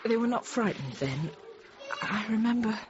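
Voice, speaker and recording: An actress playing a frightened young nursery teacher, narrating in a halting, intimate, often hushed way. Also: female voice, on the high side, expressive, close to an everyday voice. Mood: stunned, hushed. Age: 30s. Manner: halting, fragmented, often dropping to a hush. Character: frightened young Parisian nursery teacher recounting a siege, controlled. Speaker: radio drama actor, narrator. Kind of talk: intimate first-person dramatic monologue. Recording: dull, noisy radio drama recording.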